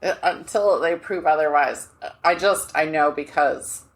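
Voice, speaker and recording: An American woman co-hosting a podcast, chatting casually with expressive, laughing asides and restarts. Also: low-pitched, measured pace. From United States. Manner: expressive, gestures and laughs, trails off mid-thought. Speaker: podcast co-host. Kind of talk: casual chat.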